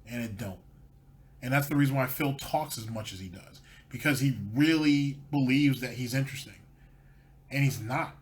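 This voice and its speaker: A man's voice: medium pitch, normal speed. A man hosting a commentary show, ranting sarcastically over clips. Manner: sarcastic, mocking. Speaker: commentary channel host.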